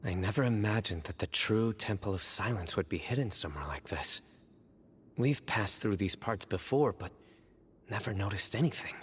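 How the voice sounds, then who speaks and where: A man plays an earnest, watchful adventurer in a game. His voice is fairly low with lively inflection, careful and serious. Long reverb and faint background noise surround him. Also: normal speed, lightly acted. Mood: awed.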